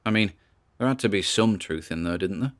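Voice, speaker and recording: A man's voice: fairly low pitch, lively intonation, brisk, natural voice. A man playing a nervous, wry grandson who records audio logs, in a conversational, musing audio drama monologue. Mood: uneasy, musing.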